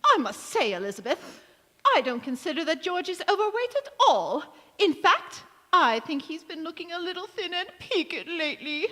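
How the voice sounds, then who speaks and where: Woman playing a high, swooping, meddling mother-in-law. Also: big pitch swings, normal speed, pushed and bright, exaggerated voice. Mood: cooing, fussing. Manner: cooing, sugary, mock-polite. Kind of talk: 1940s radio comedy acting, staged revival. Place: community theatre stage in a church. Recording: echoey hall, long reverb.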